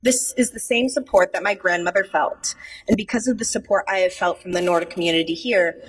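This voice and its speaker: Young woman, husky voice, echoey hall. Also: on the low side, recorded from a distance. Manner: heartfelt, measured delivery. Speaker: outgoing Lucia court member. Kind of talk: prepared speech. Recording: echoey hall, heard from afar.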